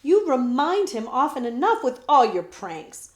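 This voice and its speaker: Brash street urchin, read by a woman, high and slangy. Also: big pitch swings, measured pace, roomy sound. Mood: scolding. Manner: loud, cocky, street slang. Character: brash street boy, quick-tongued.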